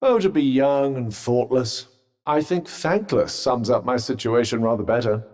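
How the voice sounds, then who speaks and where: An actor voicing a sentient magical vambrace in a video game, a wry, haughty, cautious companion with a British accent and dry, sardonic, formal understatement. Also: male voice, medium pitch, normal speed, a little mellow, close to an everyday voice. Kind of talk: video game character dialogue. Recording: long reverberant echo on the voice.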